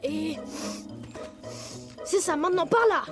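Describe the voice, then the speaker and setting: A woman's voice: very high-pitched, expressive, takes it slowly, gravelly, music in the background, exaggerated voice. A woman playing a boastful, cheerful young male tiger cub in a children's cartoon, playful and cocky. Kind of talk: French children's cartoon dub.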